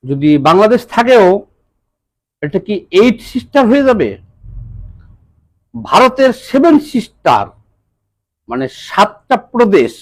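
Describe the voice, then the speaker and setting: Bangladeshi male political commentator, high voice with big swings, halting deliberate phrasing. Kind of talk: political monologue to viewers.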